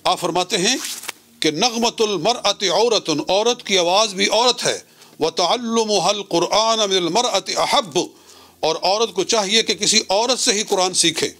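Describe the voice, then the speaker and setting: Male Islamic cleric, notably high register. Measured, didactic religious lecture delivery, phrase by phrase. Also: sharp tone. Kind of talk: religious lecture citing scripture.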